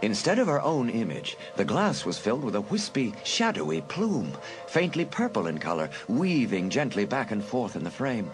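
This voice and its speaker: A man's voice: mid-range voice, wildly swooping pitch, moderate pace, music in the background, low-quality recording. A man narrating a suspense story as a dramatic, scripted audiobook.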